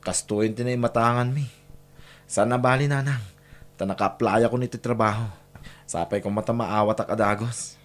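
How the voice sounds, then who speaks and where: A medium-pitched young son played by a man speaks with an Ilocano accent, earnest and urging. He is protective and resolute, in the manner of a family radio drama. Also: steady tone, moderate pace, close to an everyday voice. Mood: earnest, determined. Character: protective, resolute grown child devoted to suffering mother.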